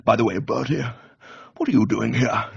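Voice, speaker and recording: A man's voice: mid-range voice, normal speed, creaky, husky, dry recording, dull audio, heavily acted. A man voicing a forthright, blustering Englishman in love, speaking emphatically with an English accent in a radio reading. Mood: grumbling.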